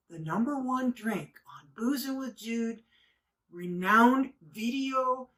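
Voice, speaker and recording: A woman's voice: medium pitch, pushed and bright. A woman from the Midwest, chatting casually and rambling a bit as she mixes cocktails on her own show. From Midwest of the United States. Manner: chatty and rambling, with frequent fillers and asides. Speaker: home cocktail show host. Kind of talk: casual how-to chat while mixing drinks. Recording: voice a bit distant from the mic.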